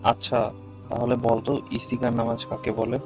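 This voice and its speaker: A devout young male cartoon student, voiced by a man, talks to his friend. He is agreeable and earnest, with scripted lines at an average pitch. A music bed is clearly audible under the voice. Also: monotone, normal speed, natural voice.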